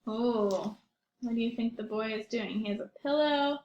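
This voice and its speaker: A woman teacher reading aloud and talking to young children in an expressive storytelling manner, with questions and asides. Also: fairly high pitch, moderate pace, reverberant, dull audio, a little hiss or hum. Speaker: primary school teacher reading aloud to pupils.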